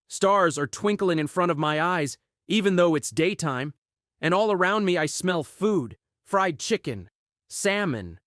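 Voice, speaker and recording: A woman reading a children's audiobook aloud in an expressive storytelling style, acting out exclamations and sound words. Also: deep voice, dry recording, studio quality. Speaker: audiobook reader.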